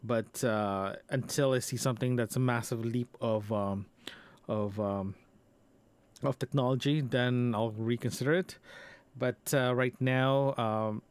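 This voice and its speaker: A man with a medium-pitched voice records a casual personal podcast. He talks off the cuff in a halting, rambling way, often repeating words and doubling back on his sentences. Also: fairly even intonation, clear, resonant tone. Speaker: podcast host. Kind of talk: casual audio diary about personal gear.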